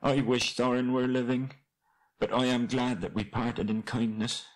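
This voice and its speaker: A man's voice: mid-range voice, level tone, moderate pace, pitched above the performer's own voice, close to an everyday voice, poor audio. A man voicing a small, good-natured hobbit in a storybook, earnest and rueful, with polite old-fashioned phrasing. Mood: mournful, self-reproachful.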